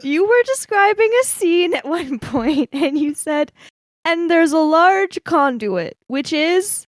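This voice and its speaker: High-pitched, animated woman. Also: slow, no echo, clean studio sound. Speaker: player in an actual-play tabletop podcast. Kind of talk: casual, joking table talk.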